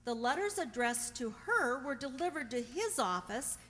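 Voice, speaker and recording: A woman's voice: high-pitched, very animated, normal speed, a bit bright. A woman playing a distraught, fragile young sweetheart with melodramatic pleading in a radio play. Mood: hesitant. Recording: echoey hall.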